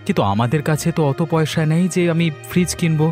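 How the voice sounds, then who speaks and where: A man's voice: a little high, fast, a little mellow, soft background music, natural voice. A man playing a poor, put-upon village husband in a cartoon folk tale, plaintive and pleading. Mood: resigned. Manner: pleading, complaining.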